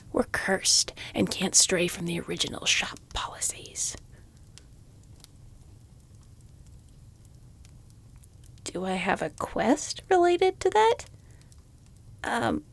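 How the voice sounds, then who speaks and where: A woman's voice: medium pitch, creaky, breathy edge, full character voice. A woman playing a weary, exasperated shopkeeper in a fantasy audio roleplay, reluctantly polite, with dry, muttered sardonic asides. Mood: sheepish.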